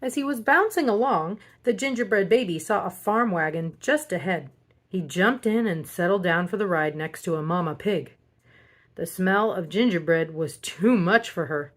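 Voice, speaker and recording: A woman reading a picture book aloud as a storyteller, in an expressive children's narration style. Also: fairly low pitch, normal speed. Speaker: storybook reader.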